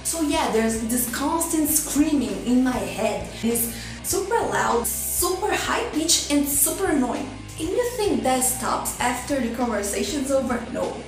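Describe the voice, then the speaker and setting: A woman's voice: high voice, animated, measured pace, far from the mic. A young woman from Brazil with a Brazilian Portuguese accent, talking in a chatty, self-deprecating way to her own camera. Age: young adult. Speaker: vlogger. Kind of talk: candid personal vlog monologue. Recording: echoey room, off-mic, music under.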